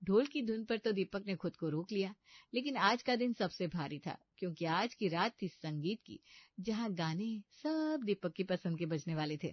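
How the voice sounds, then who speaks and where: A woman's voice: mid-range voice, lively intonation, normal speed, no echo, dull audio. A woman narrating a scripted comedy story.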